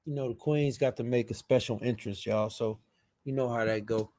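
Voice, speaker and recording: A man's voice: mid-range voice, steady tone, moderate pace, darkish tone. A man hosting a live stream, chatting casually and informally with his viewers, with trailing asides. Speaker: live stream show host.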